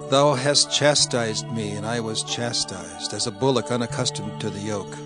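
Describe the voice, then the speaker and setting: A man's voice: fairly low pitch, moderate pace, close to an everyday voice. An actor playing a penitent, grieving, ashamed man, lamenting solemnly in a dramatized scripture monologue. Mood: humbled, pleading. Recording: prominent music under the voice. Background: music.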